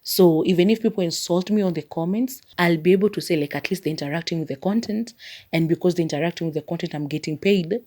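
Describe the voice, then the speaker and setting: Low, mellow-voiced Kenyan woman. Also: fast. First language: Maragoli. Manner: chatty and rambling, leans on "like" as filler. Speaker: podcast host. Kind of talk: casual solo podcast monologue.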